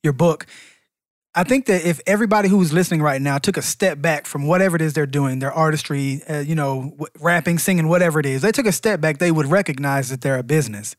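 A man's voice: fairly high pitch, fast talker, dry recording, studio quality. A man hosting a conversational interview, framing questions for a guest in an informal way. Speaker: interviewer and co-host.

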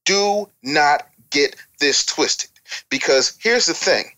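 Panelist, medium pitch, emphatic and lecturing, argues points through rhetorical questions. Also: lively intonation, takes it slowly, bright, forceful tone. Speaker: talk show panelist. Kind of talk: opinionated music-industry discussion.

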